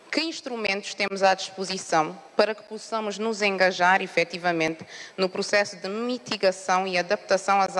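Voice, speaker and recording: A woman's voice: medium pitch, fairly bright tone. A woman moderating a conference panel, formal and reading her questions. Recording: long hall reverb.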